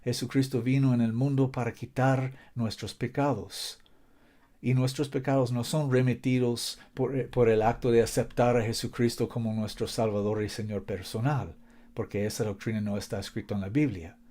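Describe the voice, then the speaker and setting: A man's voice: mid-range voice, steady tone, normal speed, warm tone. A man preaching a Bible lesson in Spanish, which is not his native language, reading scripture aloud and repeating his key points earnestly.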